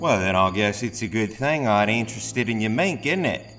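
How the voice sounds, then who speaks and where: An actor playing a cocky Victorian street thief in a comedic audio drama, with theatrical, colloquial patter. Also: male voice, lowish voice, lively intonation, fairly quick, a bit bright, soft background music. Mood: smug, menacing. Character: cocky Victorian London street thief, really an exasperated husband.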